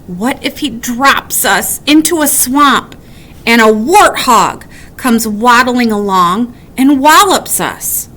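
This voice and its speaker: A woman's voice: a little high, expressive, slow, pushed and bright, heavily acted. A woman voicing a bookish, nervous male woodchuck in a children's storybook, wailing dramatically over imagined dangers. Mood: nervous alarm.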